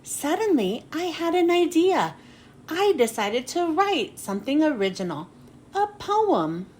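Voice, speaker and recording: A woman narrating a children's storybook expressively, with dramatic pauses and drawn-out phrase endings. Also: sits high, very animated, darkish tone. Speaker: children's book read-aloud host. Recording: noticeable background noise.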